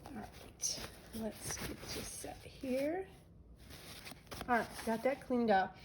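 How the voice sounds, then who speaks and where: A woman's voice: fairly high pitch, expressive, slow, background noise. Nasal woman chatting through a craft demo. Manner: chatty, narrates each step while working. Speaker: craft demonstrator.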